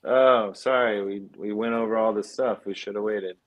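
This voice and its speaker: A man's voice: on the low side, fairly even intonation, normal speed. A man co-hosting a podcast, casually reading out film statistics and prompting the others with short questions. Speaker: podcast co-host.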